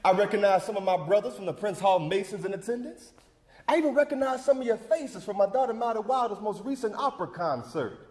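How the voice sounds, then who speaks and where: Stage monologue: male actor playing an older male civic leader, very high-pitched voice, preacherly and emphatic, big reverberant hall sound. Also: a little mellow. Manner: preacherly, emphatic, folksy, rousing cadence. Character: John Wesley Dobbs, fervent older civic leader rallying a church crowd. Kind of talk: theatrical monologue, oratorical speech. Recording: echoey hall with long reverb.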